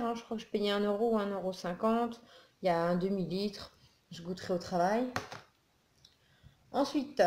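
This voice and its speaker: A woman's voice: medium pitch, a little mellow. Chatty just-woken woman in echoey room. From northern France. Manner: chatty, rambling, with many fillers and restarts. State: just woken up, a little groggy. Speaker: beauty and haul vlogger. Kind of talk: casual haul video chat. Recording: echoey room with faint noise.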